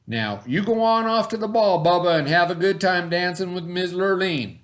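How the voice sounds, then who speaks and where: A man puts on a fairy godmother cow from a western storybook. The cow is helpful and bossy, with a folksy turn of phrase. The pitch sits a little high. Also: normal speed, fairly bright tone. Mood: encouraging, cautioning. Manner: folksy cowboy-tale diction.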